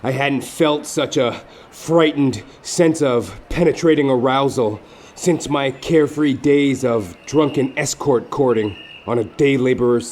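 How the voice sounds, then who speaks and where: Man acting a deadpan hardboiled detective narrator. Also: medium pitch, close to an everyday voice. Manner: deadpan, florid, deliberate storytelling. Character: wry, lecherous hardboiled male private detective narrator. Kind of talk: scripted noir-parody narration.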